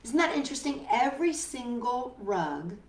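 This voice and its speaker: A woman reading a picture book aloud to children, expressive and full of wonder, pausing for chatty questions to listeners. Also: a little high, a little hiss or hum. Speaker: children's storytime host. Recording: roomy echo, distant microphone.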